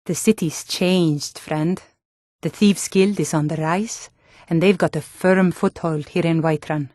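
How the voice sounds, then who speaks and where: A woman playing a tough, suspicious female Nord city guard in a fantasy video game, with a blunt, gruff, challenging and wry manner. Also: fairly low pitch, fairly even intonation, a little slow, a little mellow, no echo, clean studio sound. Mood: weary, wary.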